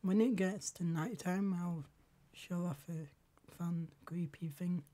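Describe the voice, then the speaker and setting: A woman streaming a game live, hoarse from a cold and often clearing her throat, talking in slow, halting asides to herself. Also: on the low side, warm tone. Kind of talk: casual live game commentary.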